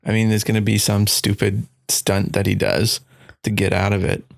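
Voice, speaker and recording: Man, movie podcast co-host, gravelly, dry sound, jokey, casual chat. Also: on the low side, little pitch movement, moderate pace, dry recording. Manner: jokey, puts on goofy voices for characters.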